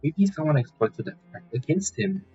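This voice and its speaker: An actor playing a puzzled, questioning man in a video game, with a husky voice. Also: a little high, lively intonation, moderate pace, mellow, lo-fi sound, soft background music, close to an everyday voice. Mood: puzzled, speculative.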